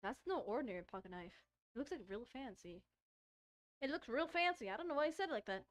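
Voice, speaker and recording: A woman with a fairly high voice streams a visual novel, reading it aloud in a casual way. She trips over words and repeats phrases as she goes, mixing in her own commentary. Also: expressive, fast talker. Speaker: gaming streamer.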